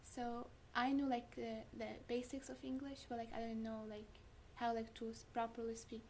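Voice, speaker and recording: Young female student from Syria; relaxed, filler-heavy answers in a monotone, light noise. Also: fairly high pitch, moderate pace. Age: young adult. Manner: casual, frequent "like" fillers. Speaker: student guest. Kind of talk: interview answers.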